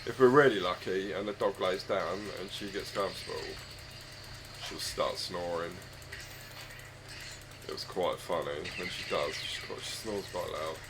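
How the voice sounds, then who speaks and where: A man with a husky, croaky voice, hoarse from a chest cold, chatting casually and rambling hesitantly while streaming a game from home on a desk microphone. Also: low voice, unhurried, noisy background. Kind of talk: casual live-stream chat. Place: home room with his dog.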